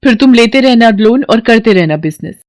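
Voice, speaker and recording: A woman's voice: fairly high pitch, animated, a little fast, a little mellow, voice pushed up, close to an everyday voice. Woman acting an insistent, exasperated young girlfriend. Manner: firm, exasperated. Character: insistent young woman pressing her boyfriend to marry.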